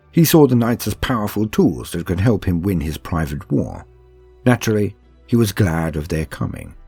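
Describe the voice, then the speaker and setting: Deep husky man, calm steady narration. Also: no echo. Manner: calm, steady, measured delivery. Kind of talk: calm scripted audiobook-style narration of war lore.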